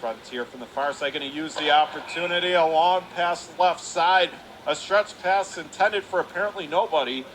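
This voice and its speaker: A man calling live hockey play-by-play on radio in clipped running phrases with excited calls. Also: a little high, moderate pace. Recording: noisy live rink recording.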